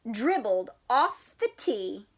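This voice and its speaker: A woman teacher reading a story aloud to children in an animated, encouraging way, often asking them questions. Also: a little high, slow.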